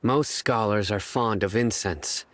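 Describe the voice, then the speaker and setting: An actor playing a stern, stoic young lawman in a video game, speaking in a terse, measured, deadpan way. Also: on the low side, dry recording. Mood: analytical, calm.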